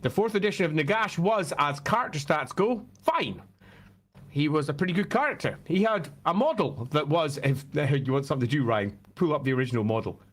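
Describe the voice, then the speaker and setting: Slightly croaky man, casual and emphatic. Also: a little high, lively intonation, fairly quick. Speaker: host. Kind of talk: casual fan talk and explanation.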